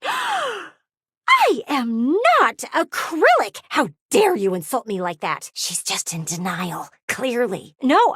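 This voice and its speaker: Game role: meddling, easily offended talking-window lady. Highish, very animated, gushing, theatrical. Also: husky, no room sound, studio quality, full character voice. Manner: theatrical, gushing, indignant, condescending. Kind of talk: animated video game character acting.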